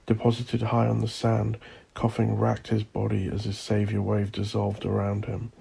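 A man narrating a horror story as an audiobook narrator, intense and theatrical, with a gravelly, husky voice. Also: lowish voice, monotone, moderate pace, mellow. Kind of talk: dramatic scripted horror narration.

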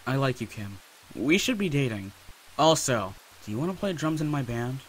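A man voicing an awkward, excitable and cocky teenage slacker hero in a comic dub. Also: medium pitch, normal speed, a little hiss or hum, natural voice, rough recording. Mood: earnest.

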